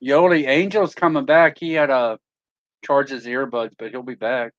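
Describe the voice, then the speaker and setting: A man's voice: mid-range voice, steady tone, normal speed, no echo, highs cut off. A man joining a livestream panel as a guest, chatting casually and agreeably. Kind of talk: casual chat. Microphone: laptop.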